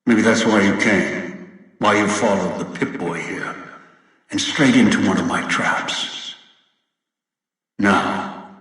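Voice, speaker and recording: An actor playing a cold, controlling old villain in a video game, speaking in a deliberate, commanding and menacing way. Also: male voice, lowish voice, fairly bright tone. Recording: very echoey, distant, long reverb.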